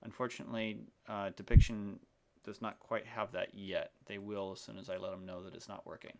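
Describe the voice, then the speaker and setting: A man giving an unscripted screencast tutorial in a halting, explanatory, step-by-step manner. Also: lowish voice, little pitch movement, creaky, heavy background noise. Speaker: software demonstrator. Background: keyboard typing and mouse clicks.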